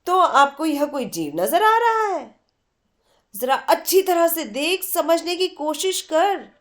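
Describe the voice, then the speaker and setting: Storybook role: playful, insistent young art-student woman, done by a female narrator, high voice, leisurely pace. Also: very animated, takes it slowly, heavily acted. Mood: defensive. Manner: insistent, exclamatory, teasing.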